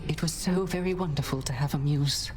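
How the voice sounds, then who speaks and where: Dead bard, male actor: gentle, resigned, melancholy game character with clear musical backing. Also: fairly high pitch, a little fast, music in the background, close to an everyday voice. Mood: wistful, sorrowful. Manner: mournful, poetic phrasing. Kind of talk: video game character dialogue.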